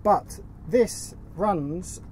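A man's voice: high voice, extremely slow, a little mellow. A middle-aged man talks keenly and casually about his favourite boat gadgets. He sits outdoors in a sailboat cockpit. A faint outdoor hiss sits under his voice. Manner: enthusiastic, chatty. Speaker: sailing vlog co-host. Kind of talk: casual chat presenting gear.

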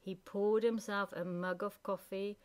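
Slow-paced woman reading a storybook. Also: medium pitch, fairly even intonation. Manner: deliberate, with pauses between phrases. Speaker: storybook narrator. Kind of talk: unhurried scripted children's story narration.

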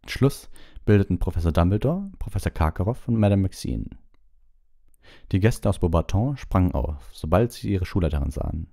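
A man's voice: deep voice, animated, fairly quick, vocal fry, mellow. A man reading a storybook aloud as an audiobook narrator, in scripted narration.

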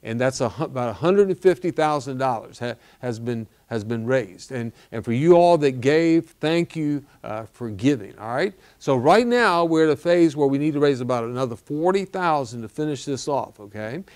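Earnest older pastor making an appeal. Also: male voice, mid-range voice, expressive, moderate pace, hardly any pauses, a little mellow. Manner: earnest, repeats "okay?" and "all right?". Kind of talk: appeal for donations. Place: studio set with wooden wall backdrop.

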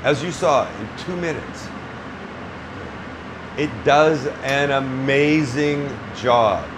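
A man's voice: mid-range voice, takes it slowly, background noise. A middle-aged man hosting a casual cooking demonstration in a small restaurant kitchen, joking bluntly and swearing freely. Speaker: chef and cooking show host.

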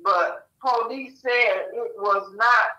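Slow, bright-voiced woman reading news. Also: on the high side, lo-fi sound. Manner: halting, stumbles over names. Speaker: co-host reading the news report. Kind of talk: reading a news article aloud.

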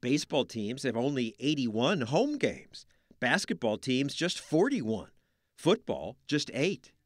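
A man's voice: medium pitch, big pitch swings, takes it slowly, no echo, studio quality. A man reading scripted news narration in a wry, emphatic voice-over. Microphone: studio voice-over.

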